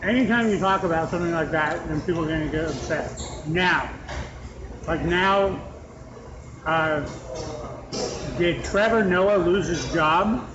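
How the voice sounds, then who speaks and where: A man's voice: a little high, a little slow, pauses often. A man with a nasal voice hosting a podcast, rambling in casual chat with many restarts. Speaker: podcast host. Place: restaurant with a fan. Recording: muffled sound with clear background noise.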